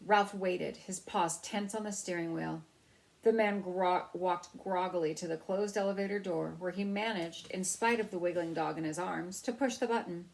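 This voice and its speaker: A woman's voice: on the low side, normal speed, gravelly, rough recording. A woman storyteller reading a children's novel aloud.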